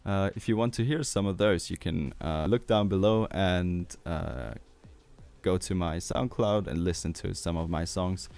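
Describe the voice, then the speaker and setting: A young man in his twenties from Austria with an Austrian German accent, walking through a music tutorial in a relaxed, hesitant way with many fillers, on a studio mic in a small home studio. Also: low voice, measured pace, vocal fry. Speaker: music producer. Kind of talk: tutorial walkthrough. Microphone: studio mic on boom arm with headphones.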